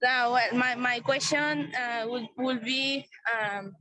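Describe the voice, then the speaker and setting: A woman from Peru with a Peruvian Spanish accent, asking a question on a video call in halting, apologetic English. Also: fairly high pitch, sharp tone, no echo, poor audio.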